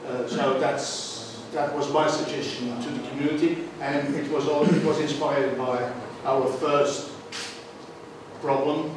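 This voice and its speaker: A man at a workshop, speaking in a measured way to the group in a large conference room. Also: mid-range voice, steady tone, background noise. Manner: measured, says he has a loud voice. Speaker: workshop participant. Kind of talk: group discussion. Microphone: far room mic. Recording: echoey hall, far from the mic.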